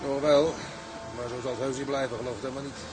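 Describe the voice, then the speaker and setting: An actor playing a hearty, dutiful, plain-spoken bosun aboard a sea tug. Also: male voice, mid-range voice, steady tone, fast talker, music in the background, close to an everyday voice, rough recording. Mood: matter-of-fact. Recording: loud engine and sea noise.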